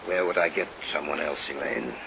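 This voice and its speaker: A man acting in a vintage radio drama as an obsessive, dismissive scientist and father, brisk and authoritative. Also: extremely low pitch, vocal fry. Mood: insistent, dismissive. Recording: vintage radio recording with rain noise.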